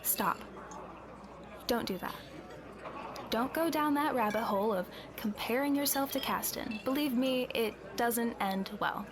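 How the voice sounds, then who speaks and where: A woman's voice: fairly high pitch, unhurried, noisy background, lightly acted. A woman playing a young tavern keeper and confidante who talks bluntly but reassuringly, in a conversational roleplay drama. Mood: consoling.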